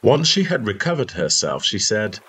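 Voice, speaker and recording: A man's voice: on the low side, big pitch swings, normal speed, a bit bright, husky. A man reading a short story in a scripted narration style.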